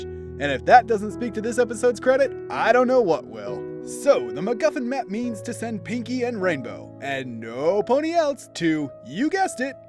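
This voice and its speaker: A man narrating a scripted review as host in a theatrical, opinionated manner. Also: a little high. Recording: background music behind voice. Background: instrumental music.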